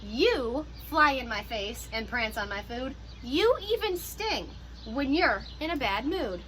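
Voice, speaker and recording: A woman who teaches pre-K, reading a picture book aloud to young children in an animated, encouraging way with teacher asides. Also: fairly high pitch, a little hiss or hum.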